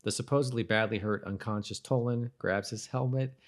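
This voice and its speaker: Male podcast co-host, low voice, relaxed conversational delivery, agreeable, switches between chatting and reading notes aloud. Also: fairly quick. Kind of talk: casual chat, reading trivia and goofs aloud.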